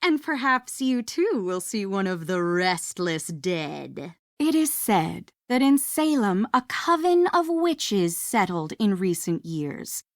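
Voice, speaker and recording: A woman voicing a mysterious, theatrical witch tour guide in a video game, speaking deliberately with an ominous manner. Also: mid-range voice, sing-song, no echo, clean studio sound. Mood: teasing, inviting. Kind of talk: dramatic ghost-story narration, video game voice acting.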